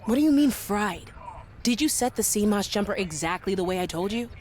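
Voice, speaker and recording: An actress playing a sardonic, tech-savvy young programmer in a video game, blunt and teasing with a dry manner. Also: female voice, mid-range voice, lively intonation, brisk, darkish tone, a little hiss or hum, natural voice. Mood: exasperated. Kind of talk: video game character dialogue.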